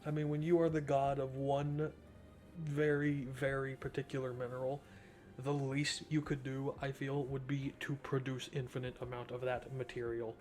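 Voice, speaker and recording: A man's voice: medium pitch, level tone, moderate pace, a little mellow, faint music behind. A young man giving casual, slangy, joking gameplay commentary as a streamer. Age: young adult. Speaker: game streamer.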